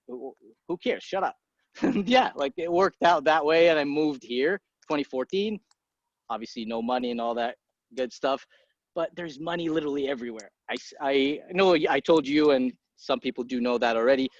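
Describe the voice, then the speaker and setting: A man in his thirties from Egypt, a podcast guest talking casually and bluntly, swearing freely and tossing off quick asides. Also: mid-range voice, no room sound. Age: 30s. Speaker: guest, entrepreneur. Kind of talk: casual interview talk. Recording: dry muffled podcast audio.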